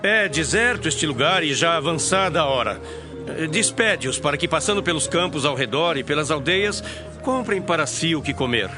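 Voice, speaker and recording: Earnest disciple, higher male voice acted. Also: expressive, normal speed, lightly acted. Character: adult male disciple, earnest practical follower. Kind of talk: dramatized Bible audio acting. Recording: music bed behind.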